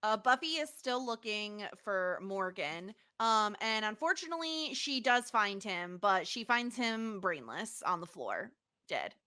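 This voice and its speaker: Bright, forceful woman podcaster. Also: mid-range voice, expressive, a little slow. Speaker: podcast co-host. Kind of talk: casual episode recap chat.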